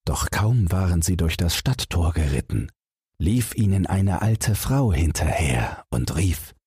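Guy narrating a bedtime fairy tale, deep and very husky, slow, dry recording. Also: dark tone, dry recording. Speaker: audiobook narrator.

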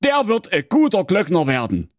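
A man's voice: high voice, huge pitch swings, normal speed, no room sound, studio quality, pitched above the performer's own voice. A man voicing a sly, shifty middle-aged sexton with a Saxon accent, stammering and evasive. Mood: delighted. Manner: hesitant, evasive.

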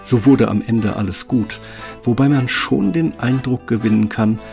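A man's voice: medium pitch, normal speed, gravelly. A man narrating a scripted fairy tale as the storyteller of an audio play. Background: instrumental music.

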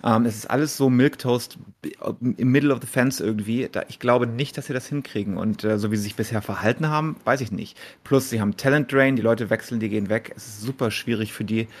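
A man's voice: mid-range voice, a little fast. A man co-hosting a podcast, discussing analytically and casually, heavily mixing English industry jargon into his German. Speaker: podcast co-host.

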